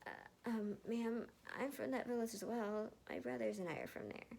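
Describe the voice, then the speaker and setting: A shy, eager teenage boy, put on by a woman reading a story, speaks in a raised pitch. He is sweet, hesitant and soft-spoken. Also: moderate pace, creaky. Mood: timid.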